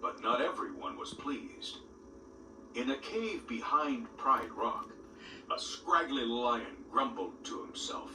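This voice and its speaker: Guy reading a kids storybook, animated and bouncy, kinda far from the mic. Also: medium pitch, slow pace, noisy background. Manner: lively, expressive reading. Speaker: storybook narrator. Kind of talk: read-along storybook narration. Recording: roomy distant audio with loud music. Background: loud orchestral music.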